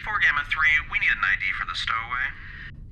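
A man's voice: a little high, big pitch swings, a little fast, noisy background. A man acting a formal starship officer in a sci-fi audio drama, speaking in a brief, official manner. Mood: officious.

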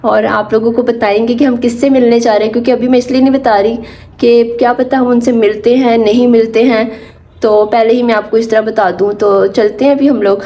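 A woman's voice: a little high, fairly even intonation, a little fast. A young woman vlogging casually to her phone, chatting about her day and mixing in English words. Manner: hushed, half-whispered indoors. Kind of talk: casual vlog chat. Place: home and auto-rickshaw. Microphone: phone held at arm's length.

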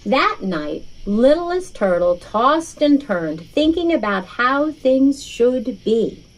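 A woman narrating a children's picture book in a deliberate, expressive storytelling manner. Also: sits high, low-quality recording. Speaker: picture-book author reading aloud.

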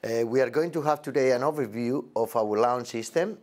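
A man in his forties with halting, non-native English gives an unscripted product demonstration as a presenter, recorded indoors on a lapel mic. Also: mid-range voice, darkish tone. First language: not English. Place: indoor demo set with backdrop.

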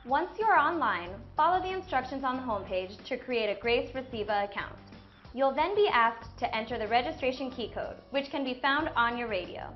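Female tutorial narrator, higher register, instructional script, music underneath. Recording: slightly dull, music behind.

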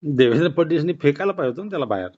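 A man's voice: fairly high pitch, lively intonation, very fast, darkish tone, muffled recording. A man in a casual phone conversation about politics, questioning and interjecting in disbelief, recorded off the call. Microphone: telephone.

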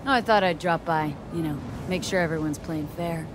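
A woman's voice: low-pitched, fairly quick, noisy background, natural voice. An actress playing a cocky, hard-edged female mercenary in a video game, sardonic and unflappable. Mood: cool, sardonic. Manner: sardonic, street-slang, unflappable. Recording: music behind.

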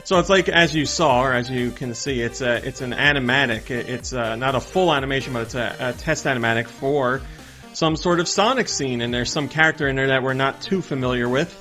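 A man's voice: medium pitch, brisk, bright, forceful tone. A man in his thirties hosting video game news in a casual, friendly, upbeat way, talking to viewers. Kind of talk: casual vlog-style news commentary. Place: small indoor room with game shelves. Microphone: desktop condenser mic close in front. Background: background music.

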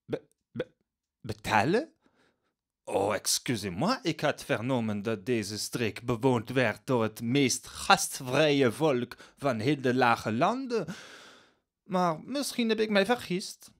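An actor playing a flamboyant, vain, frivolous travelling gentleman in a radio drama, gushing theatrically. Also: mid-range voice, lively intonation, takes it slowly. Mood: affronted. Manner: theatrical, effusive, gushing with exclamations.

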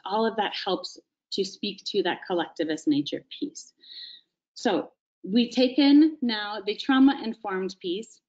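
A woman's voice: medium pitch, a little mellow. Woman, thirties, webinar presenter, explanatory, webcam over video call, slightly dull audio. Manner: explanatory, frequent "right?" check-ins.

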